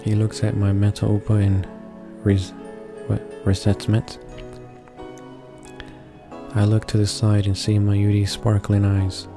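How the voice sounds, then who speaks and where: A man with a fairly low voice reads a visual novel aloud for a casual gaming video. His delivery is extremely flat and a little halting, as he trips on words and corrects himself. Music plays clearly behind his voice. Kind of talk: casual read-along narration with asides.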